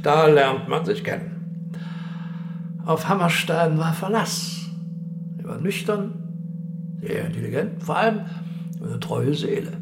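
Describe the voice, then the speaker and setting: Radio play: self-assured ghost of an old-school general, sardonic, gravelly, music underneath. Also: male voice, a little high, animated, slow, long pauses, exaggerated voice. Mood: wry reminiscing. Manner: sardonic, offhand, military clipped asides. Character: dead Weimar-era general, wry, self-assured old-school officer. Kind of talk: German radio play dialogue.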